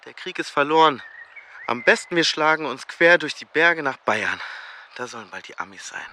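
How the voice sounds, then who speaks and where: Defiant young soldier, sharp and animated. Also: male voice, a little high, normal speed, a little hiss or hum. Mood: scornful, defiant. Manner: scornful, slangy, blunt. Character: defiant, cynical young German soldier, war-weary school dropout. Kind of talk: radio drama acting.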